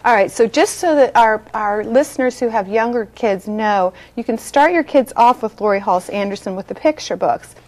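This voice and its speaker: A woman's voice: fairly high pitch, moderate pace, few pauses, a little mellow. A middle-aged American woman hosting a studio book interview in an enthusiastic, effusive way. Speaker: talk show host. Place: small TV studio set.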